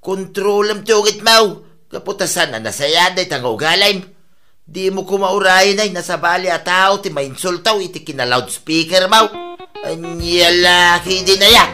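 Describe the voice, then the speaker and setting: Actress playing a bright-voiced, reproachful housemate. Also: female voice, fairly low pitch, moderate pace, soft background music. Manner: chiding, reasoning. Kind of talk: comedy radio drama acting.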